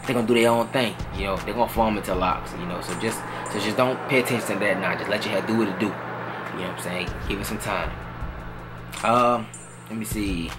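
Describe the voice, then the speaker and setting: A relaxed young man, speaking in a fairly low voice. He talks loosely and casually, circling back with "you know what I'm saying," while clear music plays under him. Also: brisk. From New Orleans, Louisiana. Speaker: vlogger answering viewer questions. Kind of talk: casual Q&A chat.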